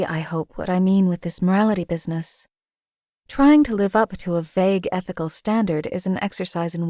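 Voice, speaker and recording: An actress playing a cold, detached female assassin companion in a game, speaking in a calm, clipped, deadpan way. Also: lowish voice, no echo, clean studio sound, close to an everyday voice. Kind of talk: game voice lines.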